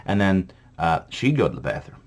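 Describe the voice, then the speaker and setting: Low-voiced man rambling profanely. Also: big pitch swings, a little fast, breathy edge. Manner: rambling, profane, full of fillers. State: jittery from coffee. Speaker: vlog host. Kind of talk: irreverent comedic history rundown.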